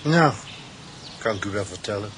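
An actor playing a nosy, terse, matter-of-fact village petrol attendant in a radio drama. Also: male voice, lowish voice, animated, moderate pace, close to an everyday voice. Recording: noisy outdoor vehicle ambience.

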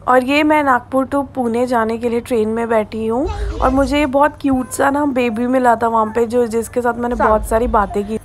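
Woman in her 20s, casual vlog host, worn-out, sleepy delivery, phone selfie mic, slightly high voice. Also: fairly even intonation, a little fast, hardly any pauses. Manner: chatty, tired, mixes in English words. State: exhausted and sleepy. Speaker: vlogger. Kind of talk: casual travel vlog narration. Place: roadside by a car, outdoors. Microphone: phone selfie at arm's length.

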